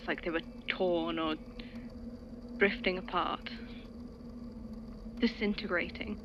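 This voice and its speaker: A woman playing a shaken, devoted friend in an audio drama, speaking in a halting, hushed, earnest way. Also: fairly low pitch, dull audio, a little hiss or hum, lightly acted. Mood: haunted, awed. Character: Grian, shaken devoted friend recounting a rescue. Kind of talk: intimate dramatic monologue in audio fiction.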